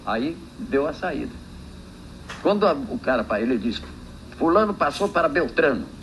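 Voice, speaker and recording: A man's voice: medium pitch, moderate pace. An older Brazilian man, a veteran broadcaster, informally telling an anecdote from memory in a noisy archive recording. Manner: animated anecdotal telling, acting out quotes. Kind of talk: informal spoken reminiscence.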